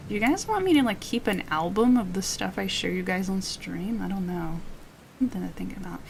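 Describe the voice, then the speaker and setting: A woman chats in a low, quiet voice into a close mic, her tone carrying a gravelly, fry-touched edge. She rambles gently and interrupts herself often. Faint storm and typing noise sits behind her. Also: lively intonation, brisk, low-quality recording. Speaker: VTuber streamer. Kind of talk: soft-spoken ASMR chat. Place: home streaming setup with storm ambience.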